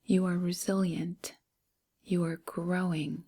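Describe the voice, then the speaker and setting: A woman leads a guided meditation in a soft, breathy voice that sits close to a whisper. Her pitch is on the low side and her delivery is very slow. She speaks gently in short phrases, leaving space between them. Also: little pitch movement. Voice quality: breathy, hushed, near-whisper. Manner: gentle, unhurried phrasing with pauses.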